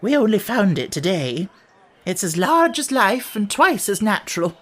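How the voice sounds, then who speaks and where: A man's voice: high voice, big pitch swings, moderate pace, voice pushed up. A man voicing an eager, impatient storybook hare who speaks in an urgent, earnest way. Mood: eager, solemn.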